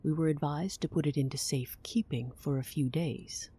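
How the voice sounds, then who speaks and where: A woman playing a cool, imperious, businesslike client in an audio drama, haughty and deadpan, with a breathy, husky voice. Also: deep voice, normal speed. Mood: haughty explaining.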